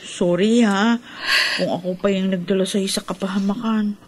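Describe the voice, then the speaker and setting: An actress as a regretful woman, mid-pitched voice. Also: steady tone, moderate pace, a little mellow, lightly acted. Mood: regretful, relieved. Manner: sympathetic, regretful. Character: apologetic, guilt-ridden female friend. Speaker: radio drama actress. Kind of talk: Filipino radio drama acting.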